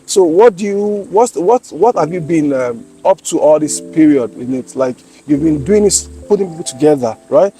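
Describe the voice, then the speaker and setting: A man hosting an interview podcast with a mellow, warm-toned voice pitched a little high. He is enthusiastic, affirms often and turns to address his viewers directly. Faint music plays beneath. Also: animated, normal speed, few pauses. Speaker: podcast host.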